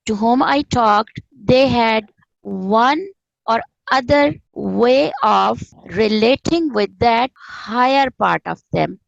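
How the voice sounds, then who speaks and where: Creaky-voiced woman, close dry sound. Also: a little high, dry recording, rough recording. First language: Urdu. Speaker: interview guest, author and spiritual teacher. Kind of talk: conversational explanation of spirituality.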